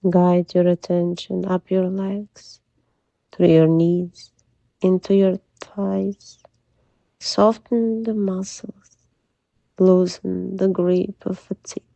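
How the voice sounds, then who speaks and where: A woman leading a guided sleep meditation in a soft, soothing, gentle voice that often drops to a breathy near-whisper. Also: on the low side, little pitch movement, slow, dry recording, low-quality recording. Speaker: hypnotherapist guide.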